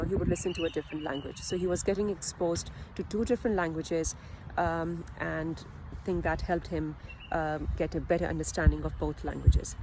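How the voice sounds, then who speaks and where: A woman who speaks English as a second language, giving explanatory advice as a video presenter in a measured, deliberate way with pauses mid-phrase. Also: fairly low pitch, a little hiss or hum, poor audio. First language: a language other than English. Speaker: video presenter, parent advocate. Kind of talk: explanatory advice talk to camera.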